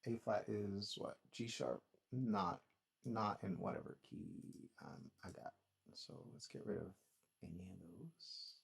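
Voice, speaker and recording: A man's voice: lowish voice, slow, creaky, slightly rough. A man working out a song in his studio, talking casually and thinking aloud with a self-deprecating, rambling manner. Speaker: songwriter and producer.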